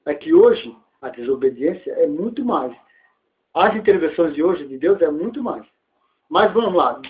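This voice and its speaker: A man's voice: fairly high pitch, sing-song, moderate pace. Brazilian preacher man on tinny, phone-like audio. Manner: dramatic storytelling, acting out dialogue. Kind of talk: sermon retelling a Bible story with rhetorical questions. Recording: thin, phone-like narrow-band audio.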